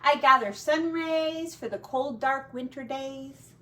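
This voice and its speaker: Lady reading as dreamy lil boy mouse, calm n simple, really slow, storybook style. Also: high-pitched, far from the mic, full character voice. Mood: calm, explaining. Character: gentle dreamy male field mouse, a quiet poet.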